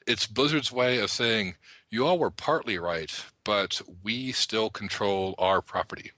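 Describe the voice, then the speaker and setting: A man's voice: fairly low pitch, measured pace, sharp tone, dry recording. A man talking casually and explaining things articulately, as a panelist on a gaming podcast.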